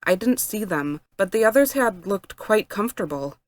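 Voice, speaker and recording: A woman reading fan fiction aloud as an expressive story narrator. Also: medium pitch, normal speed, dry recording.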